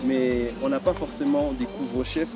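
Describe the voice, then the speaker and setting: A man speaks in a medium-pitched voice as an interview guest. He is casual and agreeable, feeling his way through sentences and repeating words as he goes. Music is clearly audible behind him. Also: steady tone, moderate pace. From Congo. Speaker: fashion brand representative being interviewed.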